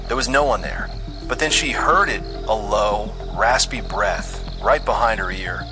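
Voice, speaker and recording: A man's voice: on the low side, unhurried. A man reading a scripted horror story with dramatic, suspenseful delivery. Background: music under the voice.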